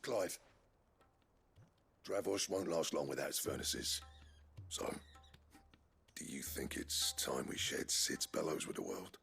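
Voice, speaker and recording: An actor playing a proud, weary exiled smith in a game, gruff and brooding, with a husky, gravelly voice. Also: male voice, a bass voice, slow, long pauses, fairly bright tone, soft background music, heavily acted. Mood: grave, resigned. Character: weary, proud male master smith, guilt-laden exile. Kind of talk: video game drama acting.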